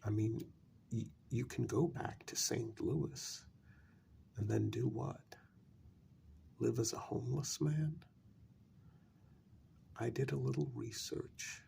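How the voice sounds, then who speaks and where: Give the part to a calm, coldly persuasive male vampire acted by a man in an intimate ASMR role-play. His soft, breathy voice is full of heavy creak. He speaks very slowly and deliberately, laying out each point with pauses, over faint background noise. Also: mid-range voice, exaggerated voice. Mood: cold, reasoning. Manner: slow, deliberate, pausing between phrases.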